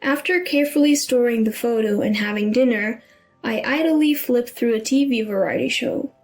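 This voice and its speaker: A woman's voice: on the high side, moderate pace, soft background music. A woman reading a scripted first-person story as its narrator.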